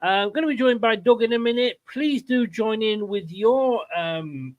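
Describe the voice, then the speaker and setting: Male fan-channel host, high voice, informal and jokey, teasing banter for a live sports show. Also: moderate pace, phone-quality sound. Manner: jokey, teasing, laughs easily. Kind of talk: casual livestream chat.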